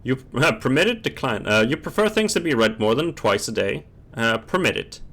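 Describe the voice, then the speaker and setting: A man's voice: medium pitch, big pitch swings, brisk, gravelly, fairly bright tone. A man hosting a casual gaming stream, chatting and reading game text aloud, often stumbling and rereading words.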